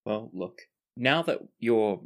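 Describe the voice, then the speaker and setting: A man playing a wry, gallant friend who teases gently and mocks himself, in improvised tabletop roleplay with dry, deliberate phrasing. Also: lowish voice, animated. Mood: dry, reassuring. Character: wry, gallant male friend, gently teasing, self-mocking.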